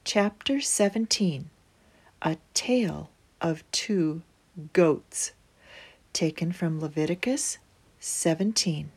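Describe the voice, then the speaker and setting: A woman reading a children's storybook aloud as host, with deliberate phrasing and emphatic pauses. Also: fairly low pitch, darkish tone, breathy edge.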